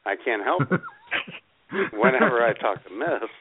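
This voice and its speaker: Raspy flirty radio guy, teasing, voice jumps around, sounds like a phone line. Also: mid-range voice, big pitch swings, fairly quick. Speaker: radio show host. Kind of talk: casual chat. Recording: narrow tinny phone-like sound.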